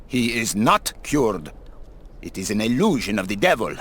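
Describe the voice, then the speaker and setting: An actor voicing a stern, fanatical monastery priest in an adventure game, preachy and imperious. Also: male voice, lowish voice, sing-song, normal speed, a bit bright, slightly rough, exaggerated voice. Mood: stern, condemning.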